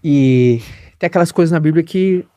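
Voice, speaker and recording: A man's voice: on the high side, normal speed, mellow, clear, resonant tone, no room sound. A Brazilian man chatting casually as the host of an interview podcast.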